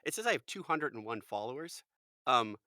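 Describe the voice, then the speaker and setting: A man in his forties, a voice actor and podcast guest, chatting in an animated way with a fairly high-pitched voice. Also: brisk, dry recording, studio quality. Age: 40s. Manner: chatty, animated storyteller, quotes people in lively voices. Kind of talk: casual chat.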